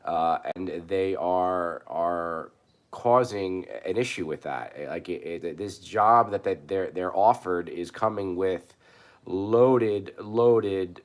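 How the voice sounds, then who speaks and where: A man with a very low, hoarse voice talks into his webcam, a bit rough from a cold. He rambles and circles back, stumbling through restarts and fillers in a casual, unscripted way. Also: slow. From New York. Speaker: prepper channel host. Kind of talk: casual live commentary.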